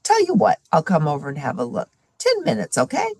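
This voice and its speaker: Folksy, gravelly snake wrangler guy acted by a woman. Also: medium pitch, wildly swooping pitch, a little fast, a little mellow, no room sound, studio quality, pitched above the performer's own voice, heavily acted. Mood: businesslike, reassuring. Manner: easygoing, folksy, explanatory. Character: friendly, knowledgeable man who handles snakes for a living.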